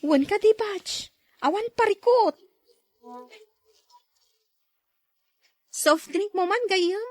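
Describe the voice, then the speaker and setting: A hardworking female street vendor in an Ilocano-accented radio drama. She is persistent and cheerful, with a very high voice recorded close and dry. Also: measured pace, darkish tone, no echo. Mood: coaxing.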